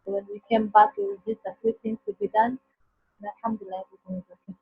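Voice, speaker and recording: A woman's voice: medium pitch, steady tone, rapid-fire, breathy edge. A woman, a mother, sharing earnestly with a group course on a video call. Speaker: course participant. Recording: dull dry call audio, faint music behind.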